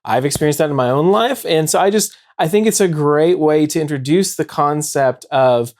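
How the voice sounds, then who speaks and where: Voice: mid-range voice, normal speed, darkish tone. A host talking casually about books in an explanatory way, often rephrasing mid-thought. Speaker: show host. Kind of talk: casual book discussion.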